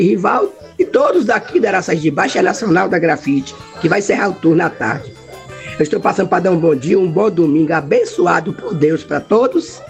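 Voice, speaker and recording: A woman caller, hoarse from a cold, effusively sending birthday blessings to a radio host. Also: lowish voice, darkish tone. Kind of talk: phone call to radio.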